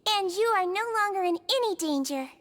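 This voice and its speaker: Tiny high-pitched polite nurse girl. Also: expressive, fairly quick, noisy background. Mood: reassuring. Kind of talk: video game voice acting.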